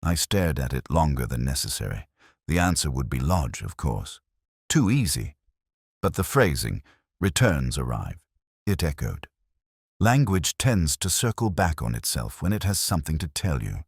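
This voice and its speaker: Male narrator for detective fiction: very deep, gravelly, brooding and measured, recorded close and dry. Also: sing-song, no room sound. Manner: measured, brooding, literary delivery. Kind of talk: scripted first-person mystery narration.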